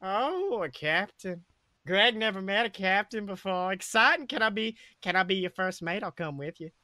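A man puts on a scaly, childlike cave creature for a comic story. The voice is high and bright, wheedling and eager with a menacing edge, and it refers to itself in the third person. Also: lively intonation, normal speed, no room sound, clean studio sound, heavily acted. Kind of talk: comedic fan fiction character reading.

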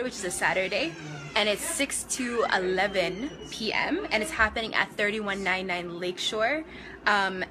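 Woman guest, informative and clear, mid voice; noisy live studio sound. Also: mid-range voice. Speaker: guest, performer. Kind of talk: live TV interview, announcing an event.

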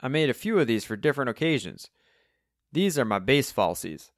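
Audiobook role: eager, boastful elderly Cockney bassist played by a male narrator, raised pitch, close dry sound. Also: brisk, no echo. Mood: proud, cheery. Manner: chirpy, boastful, excitable. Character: cheery old Cockney double-bass player, proud and eager.

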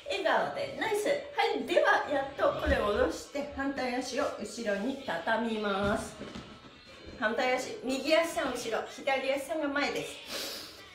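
High-pitched cheery woman leading stretches. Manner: cheery, encouraging, sing-song. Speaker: stretching instructor. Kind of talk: online exercise lesson, counting along with chanted phrases. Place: small indoor room with bare wall. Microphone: camera mic from a few meters. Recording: roomy echo, distant microphone, music behind.

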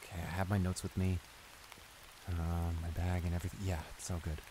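A man's voice: low voice, fairly quick, lightly acted. A man playing a flustered, apologetic young bully in an improvised roleplay audio drama, speaking in a hesitant, stammering way. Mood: grumbling. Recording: steady rain noise behind the voice. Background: rain.

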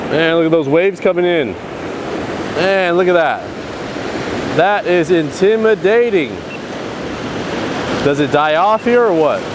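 A man in his thirties vlogging excitedly while fishing from a rocky ocean shore, recorded outdoors on an action camera. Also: a little high, measured pace, long pauses. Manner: excited, exclaiming. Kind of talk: outdoor vlog narration. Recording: faint surf and wind noise. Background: waves and wind.

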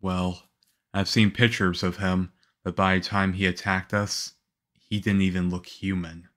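A man playing a calm, reassuring young government agent in an ASMR roleplay, speaking softly. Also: sits low. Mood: reflective. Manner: soft-spoken, unhurried, reassuring.

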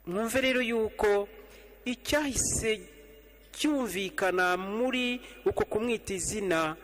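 A middle-aged priest with a Rwandan accent preaches from behind the altar into a stand microphone. His voice sits a little high for a man. His measured delivery returns again and again to slow, repeated refrains, and long church reverb trails every phrase. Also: a bit bright. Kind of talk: homily at evening Mass. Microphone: stand mic on the altar, fixed camera.

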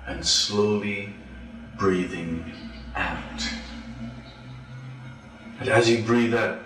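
A man leading a guided relaxation as a yoga instructor, speaking deliberately with pauses between phrases. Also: fairly low pitch. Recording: roomy echo, distant from mic.

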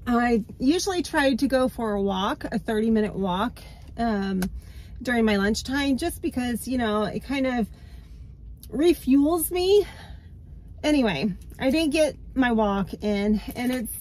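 A woman in her thirties chatting casually to camera from inside her car, sounding stuffed up and nasal from a cold, laughing often. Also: fairly high pitch. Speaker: vlogger. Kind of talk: casual vlog chat to camera. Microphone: phone camera on the dashboard.

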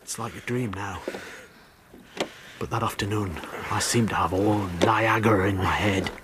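An actor playing a guilt-ridden, jealous ship's steward making a bitter, haunted confession in a radio drama. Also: male voice, lowish voice, normal speed, heavy background noise, lightly acted.

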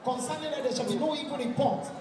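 A woman's voice: high-pitched, fast talker, far from the mic. A woman with a Nigerian accent hosting a live wedding ceremony in a commanding, theatrical, exhortative manner. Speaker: master of ceremonies at a traditional wedding. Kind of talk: live event hosting with prayers and chants. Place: large indoor event hall. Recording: echoey PA in crowded hall, music behind.

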